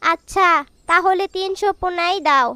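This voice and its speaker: A woman puts on a very high-pitched young cartoon wife bird. She sounds girlish, earnest and thrifty, like a character in a moral tale. The track is clearly noisy, with birds chirping behind. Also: normal speed, bright, forceful tone. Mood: bargaining. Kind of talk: children's cartoon moral story dialogue.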